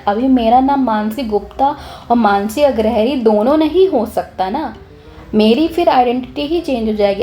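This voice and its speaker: A young woman, slightly hoarse, explaining a chemistry lesson in a casual, lively way, often restarting her sentences. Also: high-pitched. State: hoarse, clears her throat. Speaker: chemistry teacher.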